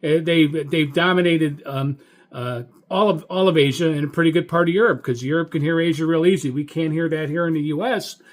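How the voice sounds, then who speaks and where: An American man who hosts a hobby radio channel, giving a casual, enthusiastic update in a halting, folksy way and repeating words for emphasis. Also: a little high. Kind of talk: casual video update monologue.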